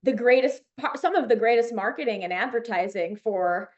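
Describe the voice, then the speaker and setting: A woman's voice: fairly high pitch, normal speed, muffled recording. Chicago woman chatting with filler words. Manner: chatty, enthusiastic, many fillers and restarts. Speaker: guest, company founder. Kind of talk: casual interview chat.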